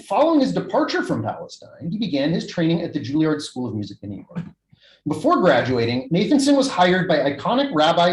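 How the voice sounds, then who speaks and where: A man at an academic conference, reading a prepared research paper in a measured, formal way over a video call. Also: on the high side, darkish tone. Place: video-call recording, echoey room. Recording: echoey video-call recording.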